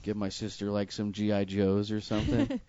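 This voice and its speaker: A man's voice: lowish voice, completely flat delivery, a little fast, dark tone, no echo. A man hosting a casual comedy chat, loose and joking with plenty of swearing and fillers. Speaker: host. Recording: dull lo-fi live stream audio.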